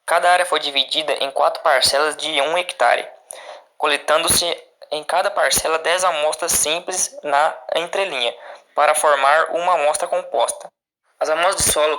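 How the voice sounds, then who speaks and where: A Brazilian man reads a formal academic text aloud in a bright, slightly high voice. His delivery is recited, like a student presenting, with the odd stumble. The sound is dry and close, with little room in it. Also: fairly even intonation, normal speed, no echo, rough recording.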